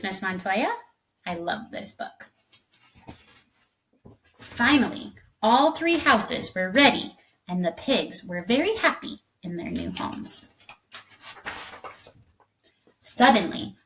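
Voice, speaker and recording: A woman's voice: medium pitch, very animated, slow pace, pauses often, a bit bright, poor audio. A woman teacher reading a children's story aloud with dramatic expression. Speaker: elementary school teacher. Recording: clear background noise.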